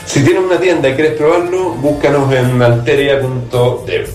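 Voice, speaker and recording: Man, Chilean accent, scripted persuasive pitch, echoey room, slightly distant, faint music. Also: steady tone, normal speed, a little mellow. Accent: Chilean Spanish. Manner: persuasive, talks straight to the listener. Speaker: startup CEO.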